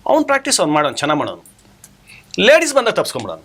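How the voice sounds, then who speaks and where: A man's voice: sits high, wildly swooping pitch, rapid-fire, fairly bright tone, breathy edge. A man, casually telling anecdotes as a guest stage actor and acting out what others said. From rural Karnataka, India.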